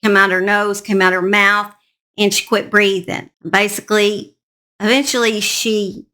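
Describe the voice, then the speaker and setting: A woman's voice: mid-range voice, level tone, unhurried, pushed and bright. A woman host narrating a true crime story in an informal, plain-spoken, conversational way, speaking straight to her listeners.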